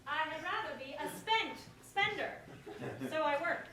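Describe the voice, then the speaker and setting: A woman plays a sharp-tongued young labourer in a stage verse comedy. Her voice is high and challenging, full of witty defiance. It is picked up from a distance in an echoey room with clear noise. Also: expressive, unhurried, heavily acted. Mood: matter-of-fact. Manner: tart, challenging, crisp retorts.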